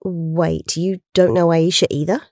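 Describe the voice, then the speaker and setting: A woman acting in an audio drama as a gentle, concerned young superhero. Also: fairly low pitch, steady tone, measured pace, no echo, studio quality. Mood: surprised, concerned. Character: Kat, young female Power Ranger, gentle, concerned.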